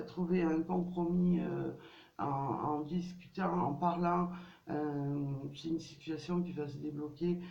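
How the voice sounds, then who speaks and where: Slow, halting woman with a gravelly voice. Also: low-pitched, warm tone. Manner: hesitant, many fillers and restarts. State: has a cold. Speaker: tarot card reader. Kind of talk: casual card reading to viewers. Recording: distant, dull, roomy home recording.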